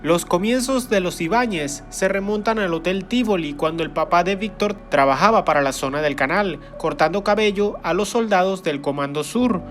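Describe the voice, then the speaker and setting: A man reading scripted documentary narration in an even, informative way. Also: high-pitched, normal speed, few pauses. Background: faint music.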